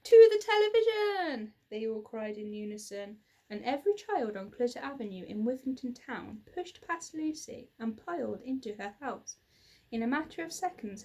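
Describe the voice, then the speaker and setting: A woman in her thirties narrating a children's story expressively, with dramatic, deliberate emphasis. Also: fairly high pitch, dark tone, slight background noise, low-quality recording. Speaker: teacher reading a children's book aloud. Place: small plain indoor room.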